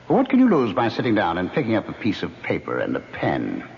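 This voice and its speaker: Taunting, reverberant vengeful ghost. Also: male voice, lowish voice, huge pitch swings, a little fast, creaky, heavily acted. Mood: coaxing. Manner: taunting, suave, coaxing. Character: vengeful, jealous ghost of an older Broadway producer. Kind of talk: vintage radio drama acting. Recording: muffled, hissy old radio recording, long reverb.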